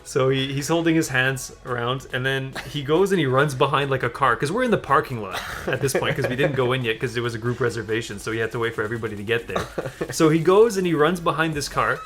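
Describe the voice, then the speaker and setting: A man's voice: mid-range voice, fast talker, fairly bright tone. A young man casually telling a rambling, amused story in a chatty style with some swearing. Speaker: gaming channel co-host. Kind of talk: casual storytelling chat over gameplay. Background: background music.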